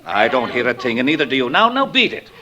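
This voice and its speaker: Actor as a gruff, grouchy neighbor, swooping delivery. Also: male voice, medium pitch, very animated, quick pace, highs cut off, loud music behind the voice, close to an everyday voice. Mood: scolding. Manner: gruff, scolding. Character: irritable, threatening male neighbor, grouchy property owner. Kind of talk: old-time radio drama acting. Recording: faintly noisy old radio recording.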